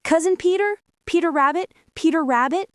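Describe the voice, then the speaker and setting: Lady doing a panicky boy bunny dad, storybook style, high pitched, urgent and pleading, close dry sound. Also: normal speed, dry recording. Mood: urgent calling. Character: Benjamin Bunny, frantic young father rabbit. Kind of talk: storybook character voice.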